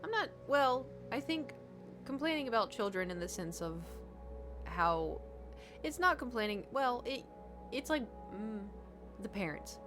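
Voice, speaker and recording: A woman's voice: a little high, sing-song, unhurried. A woman from the United States, a gaming streamer rambling in casual chat and answering her chat. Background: background music.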